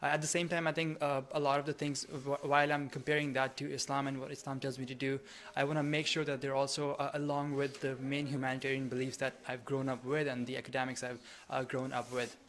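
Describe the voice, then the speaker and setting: Young guy w pakistani accent, student being interviewed, pretty monotone. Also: mid-range voice, fast. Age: early twenties. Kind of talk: conversational interview answers.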